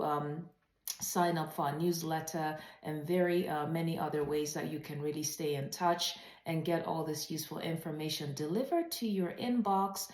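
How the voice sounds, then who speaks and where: A woman hosting an informal instructional webinar, speaking straight to viewers in an encouraging way and slowing down to stress key phrases. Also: lowish voice, a little mellow. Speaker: host of a writing advice channel. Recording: roomy, echoey sound.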